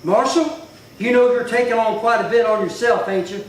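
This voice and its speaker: High-voiced, rough Western cowboy played by a man. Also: fairly even intonation, moderate pace. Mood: defiant. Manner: rough folksy cowboy dialect. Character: hot-headed, proud, feuding Old West cowboy. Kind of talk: Western radio drama acting. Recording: echoey hall, distant mic, faint noise.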